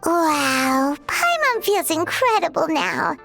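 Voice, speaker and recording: Tiny excitable game mascot fairy: childlike squeak, extremely high, wildly animated delivery. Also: female voice, takes it slowly, vocal fry, soft background music, heavily acted. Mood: delighted. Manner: exclaims, refers to herself in third person. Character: small floating fairy girl companion, excitable and childlike. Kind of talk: anime video game voice acting.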